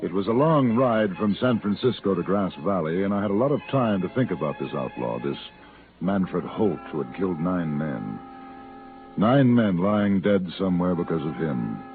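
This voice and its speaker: Low-voiced, cool Western gunfighter. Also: male voice, sing-song. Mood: reflective. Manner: measured, polite, deliberate. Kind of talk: 1950s Western radio drama acting and narration. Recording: old tinny radio recording with music.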